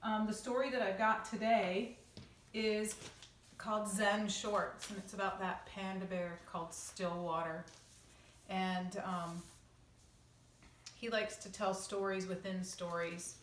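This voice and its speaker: A woman with a mid-pitched voice tells a children's story the way a teacher does for a class. Her delivery is expressive and informal. She sounds a little way from the microphone, in a roomy, echoing space. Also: slow pace, slight background noise. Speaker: teacher reading a picture book to her class. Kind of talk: read-aloud storytelling with casual asides to children. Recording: roomy echo, distant microphone.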